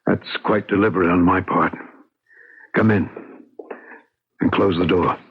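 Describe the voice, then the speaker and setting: A man playing a grave, haunted middle-aged husband in an old-time radio drama, deliberate, intense and pleading. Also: steady tone, full character voice. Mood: ominous. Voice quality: husky, deep. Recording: muffled old radio recording.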